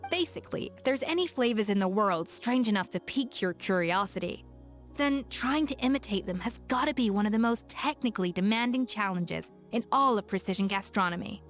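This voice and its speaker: A woman's voice: medium pitch, fairly quick, natural voice. An actress playing a confident, playful young woman chef in a game, self-assured and curious, with faint music behind her. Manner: self-assured, teasing, lively. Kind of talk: game character voice lines.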